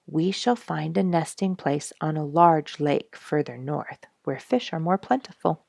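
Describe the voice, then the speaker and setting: A woman's voice: low voice, measured pace, gravelly, darkish tone, no echo, heavily acted. A woman voicing a polite male loon in a children's storybook, explaining things in a courteous, emphatic way. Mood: friendly, explaining. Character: polite male loon, chatty traveling fisher bird. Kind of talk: children's storybook character reading.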